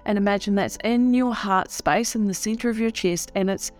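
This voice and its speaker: A woman leading a guided meditation in a soothing, unhurried way with long pauses. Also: mid-range voice, a little mellow, faint music behind.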